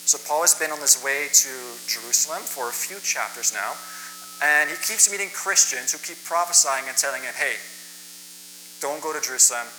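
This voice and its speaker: A man's voice: medium pitch, normal speed, noisy background. A young man, a native Dutch speaker from the Netherlands who moved to Canada as a child, gives a plainspoken, informal sermon as a guest preacher. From Netherlands, moved to western Canada at ten. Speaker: guest preacher, college staff member. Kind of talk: sermon with personal introduction. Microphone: church microphone.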